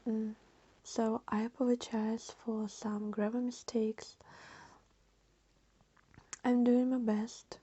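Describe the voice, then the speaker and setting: Ukrainian woman, 30s, Russian native speaker, flat low-key delivery, slightly muffled recording. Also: husky. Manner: slow, halting, with many pauses and fillers; earnest and grateful. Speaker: vlogger. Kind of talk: unscripted personal address to camera.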